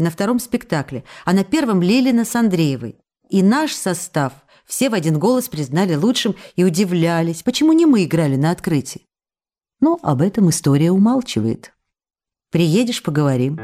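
Woman playing tender, mellow-voiced lovelorn young actress. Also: lowish voice, lightly acted. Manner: tender, intimate, imploring. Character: young actress writing passionate, pleading love letters. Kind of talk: radio drama letter reading.

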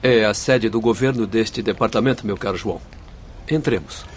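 Doctor Roberto, an actor as an affable spirit guide. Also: male voice, fairly low pitch, moderate pace, close to an everyday voice. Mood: informative. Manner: formal, genial. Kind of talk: radio drama acting. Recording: faint outdoor-like background noise.

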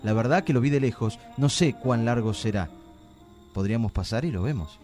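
A man's voice: mid-range voice, brisk, music in the background, close to an everyday voice. A man with an Argentine accent playing a reassuring young suitor in a radio drama. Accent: Argentine Spanish.

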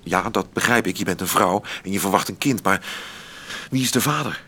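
A man playing a passionate, rationalising lover in a radio drama, with a theatrical, expressive delivery. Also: deep voice, fairly quick, slightly rough, exaggerated voice. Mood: anguished. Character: passionate, agitated male lover, romantic and rationalising. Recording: loud background ambience.

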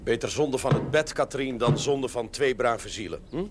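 An actor playing a measured, kindly parish priest in a period television drama, deliberate and gently admonishing. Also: male voice, mid-range voice, natural voice. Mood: gently wry. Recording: very noisy vintage TV soundtrack.

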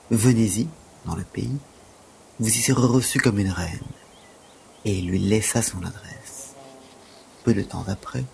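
A humble, frail gymnastics instructor played by a man speaks in a low, creaky voice. He is earnest and deferential, telling things in formal, long-winded phrases, as in a radio play. There is faint noise behind him. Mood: courteous storytelling. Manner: deferential, wordy, formal storytelling. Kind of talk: audio drama acting.

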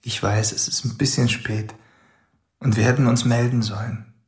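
An actor playing a reserved, apologetic young man in a radio drama, speaking quietly and tentatively. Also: mid-range voice, steady tone, moderate pace, echoey room, close to an everyday voice. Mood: tentative, polite. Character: reserved, apologetic grown brother returning home. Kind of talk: naturalistic radio drama dialogue.

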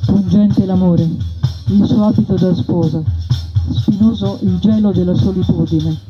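A woman's voice: lowish voice, fairly even intonation, measured pace. Woman storyteller over faint music. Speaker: narrator. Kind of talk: theatrical storytelling narration. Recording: dull radio broadcast with music.

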